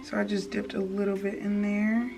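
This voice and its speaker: A woman's voice: medium pitch, little pitch movement, normal speed, creaky, rough recording. A young woman beauty vlogger talking casually and informally to her viewers in a slangy, chatty tutorial style. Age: young adult. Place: small indoor room with plain wall. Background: background music.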